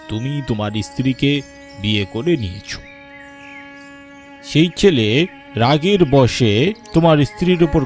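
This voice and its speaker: A man playing a solemn cartoon village exorcist who explains things in a grave, formal way. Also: mid-range voice. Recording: music behind the voice.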